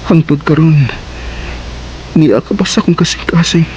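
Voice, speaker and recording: Mellow Cebuano suitor pleading , acted by a man. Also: a little high, steady tone, moderate pace, a little hiss or hum, natural voice. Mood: remorseful, pleading. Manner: earnest, coaxing. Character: Edon, pleading ex-boyfriend, ardent smooth-talking suitor. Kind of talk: Cebuano radio drama acting.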